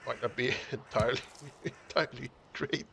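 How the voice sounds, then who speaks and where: Male game streamer, mid-pitched, casual thinking-aloud chat, frequent chuckles. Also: moderate pace, creaky, background noise. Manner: chuckling often, musing half to himself. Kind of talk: casual live-stream commentary while building.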